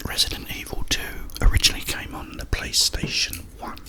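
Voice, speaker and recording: A man rambling in a breathy ASMR whisper, speaking in short, halting phrases with pauses. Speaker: ASMR creator and host. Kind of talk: whispered ASMR ramble about a video game.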